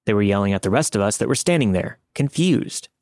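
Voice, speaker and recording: A man's voice: fairly low pitch, animated, brisk, a little mellow, no room sound, clean studio sound. A man reading a scary first-person story as scripted podcast narration.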